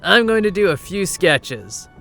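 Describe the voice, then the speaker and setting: A man's voice: sits high, big pitch swings, measured pace, pushed and bright, faint music behind, voice pushed up, exaggerated voice. A man voicing a moody gothic satyr artist, cool, cheeky and offhand, in an audiobook drama. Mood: offhand, teasing. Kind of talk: audiobook drama character acting.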